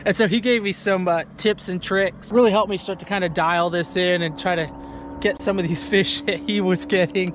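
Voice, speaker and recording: A young man with a fairly high voice talks to camera from a small fishing boat on an open lake. His chat is loose and casual, and it turns loudly excited whenever a fish bites. A faint outdoor hiss sits behind him. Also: moderate pace. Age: young adult. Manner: chatty, excitable when fish bite. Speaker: fishing vlogger. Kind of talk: casual outdoor vlog commentary. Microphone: action camera on the boat.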